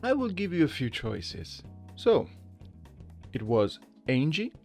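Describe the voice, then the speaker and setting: A man's voice: on the high side, huge pitch swings, slow, vocal fry, soft background music. A man hosting a gaming channel, talking in a casual video essay style. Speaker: gaming channel host, amateur voice impersonator.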